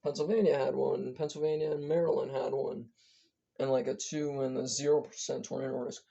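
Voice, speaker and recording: A hoarse speaker whose voice is giving out, giving a casual, rambling weather briefing as an amateur forecaster, stumbling and correcting themselves often. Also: mid-range voice, steady tone, fairly quick, dark tone. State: hoarse, losing voice. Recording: dull lo-fi recording.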